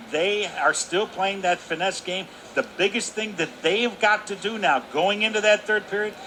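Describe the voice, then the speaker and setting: Bright-voiced man giving hockey analysis. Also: high-pitched. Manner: folksy explaining, drawn-out emphatic phrases. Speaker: color commentator. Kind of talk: radio hockey color commentary. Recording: arena broadcast with faint noise.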